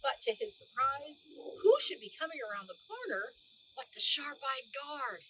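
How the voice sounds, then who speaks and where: Animated woman reading a children's story. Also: sits high, unhurried, slight background noise, low-quality recording. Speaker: storybook reader. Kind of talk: expressive children's read-aloud narration.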